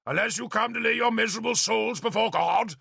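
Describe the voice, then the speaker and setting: A fanatical, wrathful bishop, put on by a male narrator, booms curses at the heathens. The voice is pitched high and rough with gravel. His tone is bright and swoops wildly up and down. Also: animated, normal speed, no room sound, clean studio sound, full character voice. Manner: booming preacher's denunciations.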